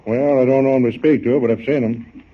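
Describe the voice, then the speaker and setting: An actor playing a loyal, plain-spoken ship's captain with a folksy, gruff manner, in 1940s radio drama style. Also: male voice, mid-range voice, steady tone, a little fast, close to an everyday voice. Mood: plain, reluctant. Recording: muffled noisy 1940 radio recording.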